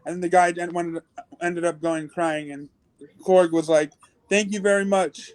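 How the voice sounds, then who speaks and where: A man chatting casually as a guest, halting and stumbling over his words. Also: sits high, flat delivery, dry recording. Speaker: guest on review show. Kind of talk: casual chat.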